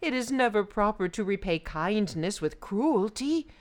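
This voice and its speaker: A woman's voice: mid-range voice, lively intonation, unhurried, pitched above the performer's own voice, close to an everyday voice. A woman voicing a kind, timid, poor Brahmin man who speaks earnestly and pleadingly in a folk tale. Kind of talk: oral folk tale storytelling.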